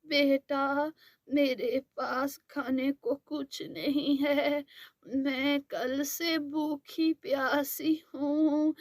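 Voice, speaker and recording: Old beggar woman, frail and weary, voiced by a woman, slow, begging tone, a little lower than her other voices. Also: no echo, clean studio sound.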